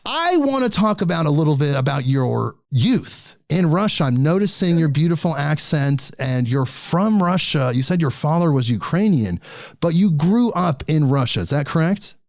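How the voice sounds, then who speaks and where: Enthusiastic male host, higher voice, dry close sound. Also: very animated, moderate pace, a little mellow, dry recording. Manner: enthusiastic, earnest interviewer. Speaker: podcast host. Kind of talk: casual spiritual conversation.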